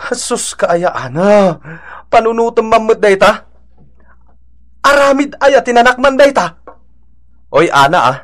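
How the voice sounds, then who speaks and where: Exasperated husband with lively pitch. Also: male voice, unhurried, long pauses. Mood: reproachful. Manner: scolding, emphatic. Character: exasperated husband reproaching his gossiping wife. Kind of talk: Ilocano radio drama acting.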